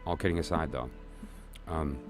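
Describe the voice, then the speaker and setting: Deep-voiced man hosting a rambling livestream. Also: normal speed, music in the background. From Brooklyn. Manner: rambling, lots of fillers and restarts. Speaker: tarot channel host. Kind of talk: casual livestream talk.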